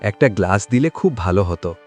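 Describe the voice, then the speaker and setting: A man's voice: fairly low pitch, lively intonation, fairly quick, a little mellow, slightly rough, faint music behind. A man playing a poor, hardworking village father, earnest, meek and polite, in a moral-story cartoon. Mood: humble, tired.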